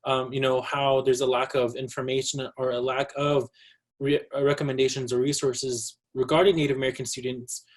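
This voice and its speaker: Measured man presenting on a video call. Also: medium pitch, little pitch movement. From Navajo Nation, US Southwest. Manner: measured, opens with a greeting in his own language. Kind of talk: formal presentation at a virtual symposium.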